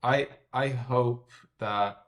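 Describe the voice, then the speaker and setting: A man's voice: mid-range voice, fairly even intonation, extremely slow, fairly bright tone. A man with an Irish accent giving conversational interview answers as a tech executive, candid and thinking aloud as he hedges his predictions. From Ireland. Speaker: tech executive, podcast guest.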